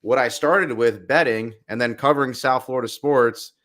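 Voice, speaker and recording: A young man with a loud voice, talking casually as a podcast guest, animated and quick in bursts. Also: mid-range voice. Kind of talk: casual chat.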